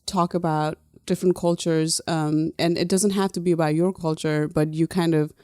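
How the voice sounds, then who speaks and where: Low, smooth-voiced woman from Nepal. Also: level tone, normal speed, darkish tone, dry recording, studio quality. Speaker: podcast co-host. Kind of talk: casual conversational podcast talk.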